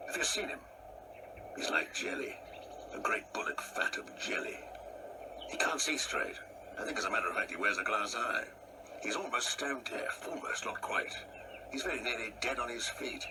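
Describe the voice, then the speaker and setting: An actor playing a pompous, insecure old English husband in an absurdist radio play, irritable, domineering and halting as he repeats his words. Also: medium pitch, lively intonation, recorded from a distance, noisy background, close to an everyday voice. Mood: defensive, scornful. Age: older.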